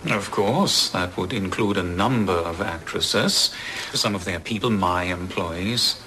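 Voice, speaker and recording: An actor playing a grave, deliberate male store manager in a police audio drama. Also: deep voice, rough recording. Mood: grave, guarded. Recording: loud ambient background noise.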